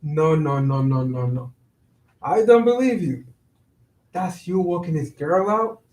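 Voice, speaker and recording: Casting: male actor as put-upon young working man, high voice, slangy complaints with some Spanish, heard distant in an echoey room. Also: expressive, measured pace, a little mellow. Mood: exasperated, hurt. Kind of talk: staged reading of a one-act play. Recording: roomy echo, voice far from mic.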